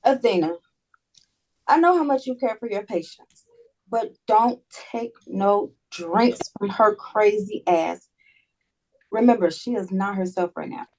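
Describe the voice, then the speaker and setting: A woman's voice: mid-range voice, expressive, measured pace, darkish tone, rough recording. A woman plays a blunt, protective colleague in a drama read-through. Her mid-range voice is emphatic and sassy, delivered as everyday speech. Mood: sassy, exasperated. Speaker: actor in a script table read. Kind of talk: dramatic script reading.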